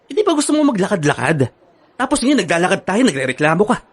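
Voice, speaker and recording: An actor playing a young Filipino boyfriend in a radio drama, speaking earnestly and expressively. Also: male voice, high voice, big pitch swings, fast talker, breathy edge, heavily acted. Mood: irritated. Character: devoted young boyfriend Ivan, playful, later irritable. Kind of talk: Tagalog radio drama acting with narration. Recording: music bed behind the voice.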